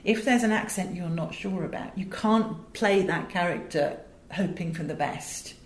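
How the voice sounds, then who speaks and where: A woman's voice: on the low side, normal speed. A middle-aged woman with an English accent, telling anecdotes emphatically and theatrically as an interview guest. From England. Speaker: interview guest, voice director and audio producer. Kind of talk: animated anecdotal interview talk. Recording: roomy echo with faint background noise.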